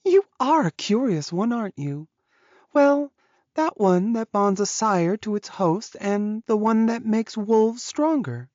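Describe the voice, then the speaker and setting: A man's voice: high voice, animated, slow pace, darkish tone, no echo, highs cut off, voice pushed up. A man voicing a friendly, proud female witch who teases and boasts, as a character in a fantasy audiobook. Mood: teasing, proud. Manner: teasing, boastful. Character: friendly, proud, giggly female witch, sly potion maker.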